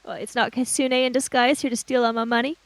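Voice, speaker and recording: A woman playing in a tabletop role-playing game, chatting casually and narrating her character, sometimes haltingly. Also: on the high side, little pitch movement. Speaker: tabletop role-play player.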